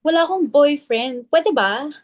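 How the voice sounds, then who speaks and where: A woman's voice: high-pitched, lively intonation, a little slow, no room sound. A Filipino woman playing a negative, suspicious, curt club member in a dramatic reading. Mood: curt, firm.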